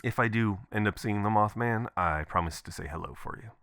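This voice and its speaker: Low, crisp-edged boyfriend voicemail, acted by a man. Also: brisk, no room sound, clean studio sound. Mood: playful, wistful. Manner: casual, expressive, chuckling, swearing. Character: adventurous, affectionate, playful boyfriend leaving voicemails. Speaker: audio performer. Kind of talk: intimate voicemail roleplay, ASMR boyfriend audio.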